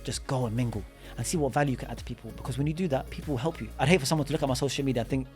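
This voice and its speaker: A man, a podcast guest telling his story in a relaxed way, full of "you know" and restarts. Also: mid-range voice, very fast, soft background music. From London. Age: 30s. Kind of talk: casual interview chat. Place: studio.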